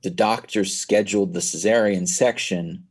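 Young man, deep voice, husky, rambling storyteller, casual podcast chat. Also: steady tone, normal speed, darkish tone. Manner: rambling storyteller, many restarts. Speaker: podcast guest.

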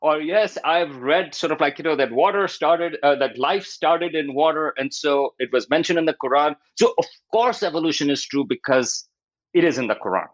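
A man's voice: a little high, lively intonation, normal speed, rough recording. A man in his fifties with a Pakistani accent, an academic explaining research in an interview and often acting out quotes as he goes. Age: 50s.

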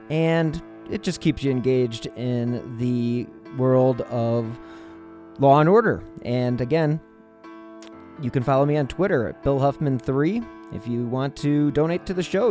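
A man's voice: mid-range voice, darkish tone. A man hosting a podcast, talking in a conversational interviewing style. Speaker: podcast host. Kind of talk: conversational interview and show sign-off.

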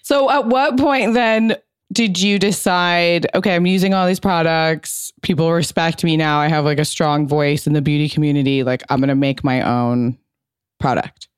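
A woman hosts a casual chat, trading relaxed, animated anecdotes and laughing easily. Her voice sits on the low side and sounds smooth and resonant. The recording is dry and close. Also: normal speed, dry recording. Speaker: interviewer.

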